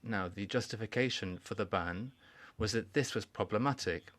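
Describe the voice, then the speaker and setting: A man giving a formal welcoming speech at a conference, measured and deliberate, with pauses and restarts. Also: fairly low pitch, fairly bright tone. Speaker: conference speaker. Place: conference hall.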